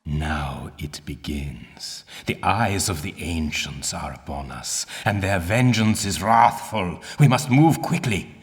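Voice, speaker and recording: An older, stern archaeologist guides a student in a video game. His voice is low and rolls widely in pitch. He is formal, pompous and condescending, echoing in a long cavernous reverb. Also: animated, takes it slowly, hardly any pauses. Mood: grave, imposing. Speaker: mentor and guide. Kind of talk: video game dialogue and tutorial instruction.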